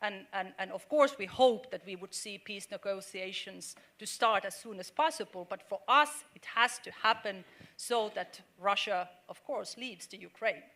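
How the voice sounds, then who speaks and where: A middle-aged woman speaks on a forum panel in a bright, forceful voice. She talks earnestly and halts often, restarting phrases and filling pauses as she works through her point. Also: medium pitch. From Finland. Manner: earnest, halting, with many fillers and restarts. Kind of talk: panel discussion.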